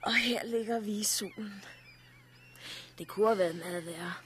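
An actress in a 1960s radio drama playing an emotional, anxious wife in a small survivor family, speaking expressively and with urgency. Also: female voice, medium pitch, a bit bright, slight background noise, natural voice. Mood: alert.

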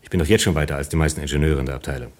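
An actor playing an exasperated, gifted engineer in a naturalistic radio play, blunt and insistent. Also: male voice, rumbling low, racing. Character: Helmut, exasperated gifted male engineering colleague.

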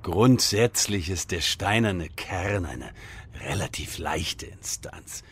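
An actor playing a whiny, self-important wizard with a theatrical, childishly excitable manner in a comedy audio drama. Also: male voice, sits low, slow, a little hiss or hum, full character voice. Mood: grumbling, self-important.

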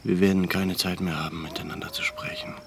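An actor playing a weary male resistance leader who speaks in a hushed, grave way, often whispering, in a radio drama. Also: sits low, huge pitch swings, fairly quick, gravelly, noisy background, exaggerated voice.

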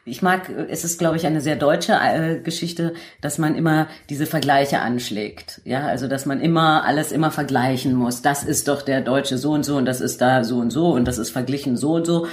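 A woman's voice: rumbling low, moderate pace, hardly any pauses, roomy sound. A woman hosting a conversational podcast interview, putting her views forward while searching for words and restarting. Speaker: host, interviewer.

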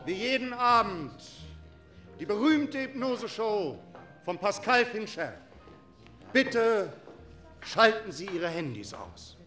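A showy cabaret announcer with a very high, bright voice. He stretches phrases grandly and slowly over faint music. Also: sing-song, full character voice. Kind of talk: German radio drama acting.

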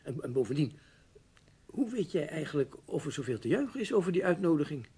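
Fretful gloomy middle-aged husband in a dutch radio drama, stammery and wordy, bit husky. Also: male voice, a little high, big pitch swings, a little fast, mellow, heavily acted. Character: anxious, gloomy, hesitant husband, a newspaper writer.

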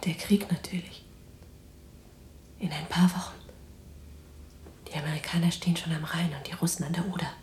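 An actress playing a weary but defiant, tender imprisoned resistance fighter in a German radio drama, speaking in a breathy, halting, intimate hush. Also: female voice, low-pitched, steady tone, echoey room, a little hiss or hum, natural voice. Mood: guarded, reassuring. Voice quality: breathy whispering. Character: exhausted, defiant, tender imprisoned resistance woman in wartime Netherlands.

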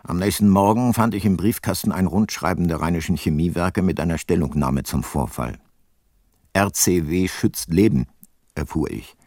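Low-voiced dry male detective. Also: a little mellow, no room sound. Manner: dry, understated, laconic. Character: dry, sceptical private detective, male, narrating his case. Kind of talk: German radio crime drama, narration and dialogue.

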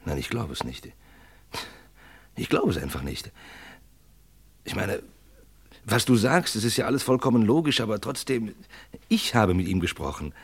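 Husky, anguished man in crime drama. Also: fairly low pitch, big pitch swings, full character voice. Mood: doubtful. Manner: hesitant, anguished, halting. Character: agitated, torn, indecisive ordinary man seeking advice. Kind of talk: vintage German radio crime play acting.

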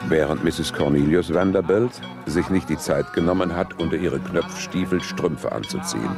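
A man's voice: rumbling low, normal speed, gravelly. A man playing a detached commentator in a radio play, narrating with dry precision. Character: detached male commentator cataloguing passengers and their dress. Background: music.

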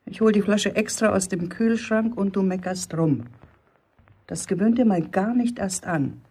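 A woman's voice: mid-range voice, unhurried, darkish tone, slightly rough. An actress playing a middle-aged pub landlady and strict mother in a German radio play, brusque, nagging and colloquial. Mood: grumbling, scolding.

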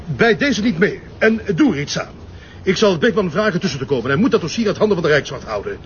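A man's voice: high voice, big pitch swings, quick pace. An actor playing an irritable, self-important male police chief who hectors and repeats himself, with a Flemish accent, in a radio drama. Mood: flustered, bossy. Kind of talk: Dutch-language radio drama acting. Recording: dull lo-fi audio with faint noise.

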